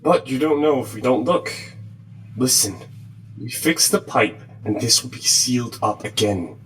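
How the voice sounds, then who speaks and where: A man's voice: medium pitch, a little slow, fairly bright tone, far from the mic, slight background noise, lightly acted, rough recording. A man playing an adventurous, persuasive utility worker in an audio drama. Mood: eager, coaxing.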